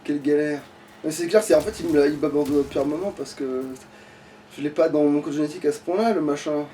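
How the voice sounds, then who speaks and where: Casting: male let's player, high-pitched, exasperated and foul-mouthed, casual running commentary, recorded off-mic. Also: fairly even intonation, fast talker, mellow. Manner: sweary, exasperated, rambling to himself. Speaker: let's play gamer. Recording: distant mic with light background noise.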